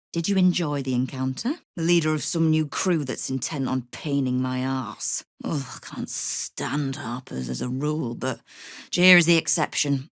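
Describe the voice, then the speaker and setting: A deep-toned female crime boss from a video game. She is tough and sardonic, giving orders and veiled threats with wry authority. The sound is dry, with no room around the voice. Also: dry recording. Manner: wry, menacing, commanding. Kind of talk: dramatic video game character dialogue.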